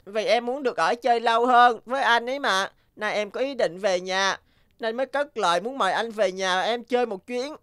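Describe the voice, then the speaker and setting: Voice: medium pitch, brisk, a bit bright, clean tone, no echo, studio quality, lightly acted. A performer voicing a friendly young male snake, a polite, childlike prince in a cartoon. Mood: eager, polite. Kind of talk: cartoon dialogue.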